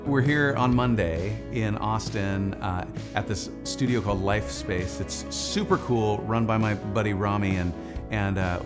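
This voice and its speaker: Male musician narrator, lower register, informal and enthusiastic conversational storytelling, music underneath. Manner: enthusiastic, informal, peppered with "like" and "dude". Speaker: musician narrating the making of his record; Anglican priest.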